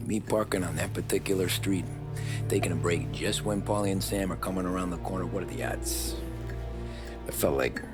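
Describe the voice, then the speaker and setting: Man playing a low-voiced streetwise American mobster. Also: moderate pace, over loud music, lightly acted. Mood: reflective, matter-of-fact. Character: weary, streetwise 1930s cabbie turned mob enforcer. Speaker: actor voicing the game's protagonist. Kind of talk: cinematic crime game dialogue and narration.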